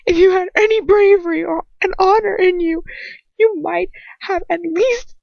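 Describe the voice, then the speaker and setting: A woman's voice: very high voice, moderate pace, gravelly, pitched above the performer's own voice. A woman voicing a furious, anguished teenage girl captive in raw emotional outbursts for an amateur audiobook. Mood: screaming rage. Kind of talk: amateur fan fiction audiobook acting. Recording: muffled roomy home recording.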